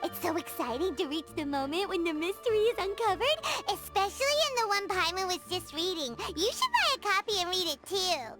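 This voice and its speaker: Childlike fairy sidekick, extremely high-pitched, squeaky, animated, excitable, video game character, loud background music. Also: female voice, a little fast, few pauses. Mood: excited. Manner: refers to self in third person, exclamatory. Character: small, excitable, childlike female fairy companion. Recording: music behind the voice.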